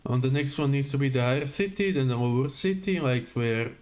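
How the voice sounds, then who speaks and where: A man's voice: mid-range voice, animated, brisk, a little mellow. A man giving casual live gameplay commentary, muttering to himself in short fragments. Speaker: game livestreamer.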